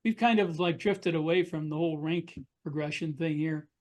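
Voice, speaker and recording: Man, slightly high pitch, casual podcast chat, informal storytelling. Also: moderate pace, a little mellow. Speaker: podcast co-host and military sci-fi author.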